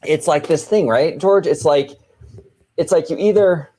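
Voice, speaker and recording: A man's voice: fairly high pitch, a little slow, mellow. A man hosting a casual podcast, brash and chatty, swearing freely and repeating his phrases as he riffs. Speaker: podcast host. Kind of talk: casual chat.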